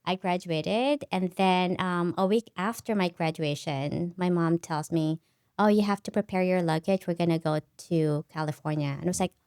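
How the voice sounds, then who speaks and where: A woman's voice: fairly low pitch, steady tone, moderate pace, darkish tone, smooth, dry recording. A middle-aged woman from the Philippines, a native Tagalog speaker, chatting as a podcast guest about her upbringing, laughing often and tagging "right?" onto her sentences. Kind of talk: casual interview storytelling.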